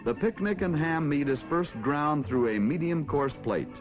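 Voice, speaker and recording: An American man reading scripted narration for a mid-century educational film in a formal, measured announcer style. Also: fairly high pitch, expressive. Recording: dull vintage film soundtrack with music. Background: background music.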